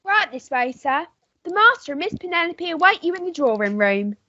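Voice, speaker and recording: A woman's voice: very high-pitched, lively intonation, a little fast, sharp tone, full character voice. A woman voicing a polite, hard-of-hearing English housemaid in an amateur melodrama radio play. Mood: polite, oblivious. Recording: slightly dull lo-fi recording.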